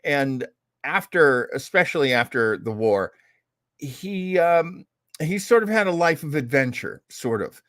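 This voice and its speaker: A man hosting an old-time radio show casually, in a rambling, hesitant, animated way. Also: a little high, a little slow, no echo, clean studio sound. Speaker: show host.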